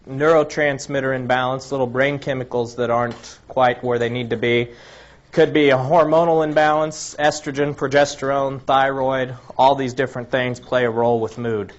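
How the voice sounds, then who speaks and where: Guy giving a health talk, bit raspy from a cold, average pitch, dull muffled recording. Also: steady tone, normal speed. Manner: explanatory, lists items one by one, frequent restarts. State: slightly raspy from a recent cold. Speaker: health presenter. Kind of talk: informal lecture to a live audience.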